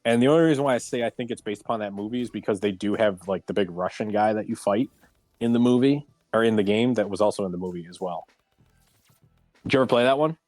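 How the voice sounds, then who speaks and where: A man chatting casually as a panelist in a group discussion, often pausing as he searches for what to say. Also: fairly low pitch, darkish tone. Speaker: round table panelist. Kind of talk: casual group discussion about games and films. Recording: roomy echo, music underneath.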